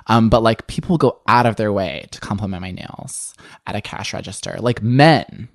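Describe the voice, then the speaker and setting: A young man speaking as a guest in a candid interview, his voice fairly low but prone to sudden upward leaps. He talks in an animated, rambling way, full of filler words. The sound is close and dry, with no room echo. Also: normal speed. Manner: animated, many "like" fillers, swooping pitch.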